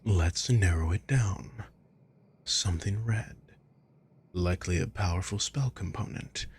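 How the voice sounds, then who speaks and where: A man playing a sadistic, controlling villain in an ASMR roleplay, breathy and husky, speaking with slow, condescending, taunting deliberation. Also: low voice, a little hiss or hum. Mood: cold, taunting. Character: sadistic, controlling male villain toying with a captive.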